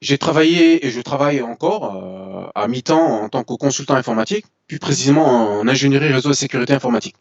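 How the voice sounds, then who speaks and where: A man in his forties from France, talking as a guest in an interview and telling his story with lively restarts. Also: mid-range voice, fast, hardly any pauses. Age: 40s. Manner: animated storytelling with frequent restarts and "voilà". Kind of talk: casual interview talk. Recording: dull lo-fi sound.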